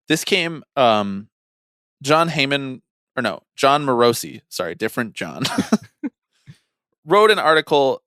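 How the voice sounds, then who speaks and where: Male sports podcaster, mid-pitched and very expressive, casual, blunt, opinionated. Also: no room sound, studio quality. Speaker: podcast co-host.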